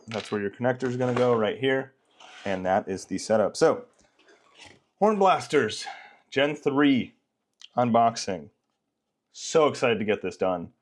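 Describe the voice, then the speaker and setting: A man's voice: fairly low pitch, a little mellow. A man giving a casual, explanatory unboxing narration as a product reviewer, in an informal way.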